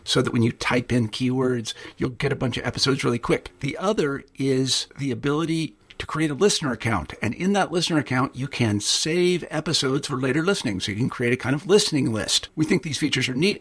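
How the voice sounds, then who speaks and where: A man reads a friendly promotional announcement for his podcast network in a plain, unhurried way. His voice is husky and slightly rough. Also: mid-range voice. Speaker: network editor.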